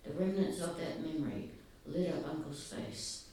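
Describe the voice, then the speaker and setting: Soft-spoken man, slightly high voice, earnest and halting, far from the mic, heavy echo, faint room ambience. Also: slight background noise. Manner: earnest, halting, pausing often. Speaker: speaker at a gathering. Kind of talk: reflective public talk. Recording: very echoey, distant, faint ambience.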